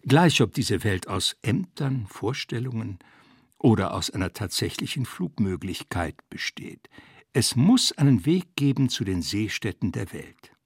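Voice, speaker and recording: A husky male voice with gravelly fry reads an essayistic literary text. His phrasing is formal, and his pitch moves in lively swings around a medium register. The sound is close and dry. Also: measured pace, no room sound. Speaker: narrator. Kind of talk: scripted literary narration.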